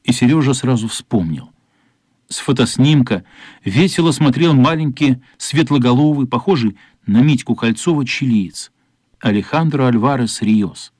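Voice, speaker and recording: A man's voice: medium pitch, moderate pace, a little mellow, dry recording, studio quality. A man reading literary narration as the storyteller of a children's radio play.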